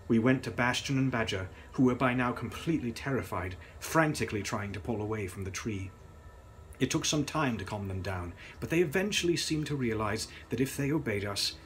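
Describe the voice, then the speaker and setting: A man's voice: on the low side, expressive, brisk, a little hiss or hum. A young man reading a gothic novel aloud in a grave, theatrical, dramatic style. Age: young adult. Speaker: author reading his novel.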